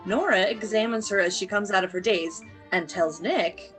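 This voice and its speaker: A woman's voice: mid-range voice, moderate pace, a bit bright, soft background music, natural voice, rough recording. A woman playing the narrator of an old-time radio adventure, presenting the story like an announcer. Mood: presentational.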